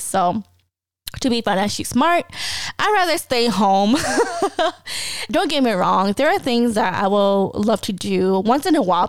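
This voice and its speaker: Smooth-voiced chatty woman, dry close sound. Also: mid-range voice, dry recording. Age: 20s. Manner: chatty, laughs often, trails off and restarts. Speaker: podcast host. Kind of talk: casual personal talk.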